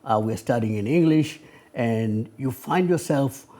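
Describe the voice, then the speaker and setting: A man's voice: medium pitch, animated, a little slow, mellow. A man from Tanzania, a guest on a studio panel, talking thoughtfully with frequent restarts and repeated words. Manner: hesitant, restarts and repeats words while building a point.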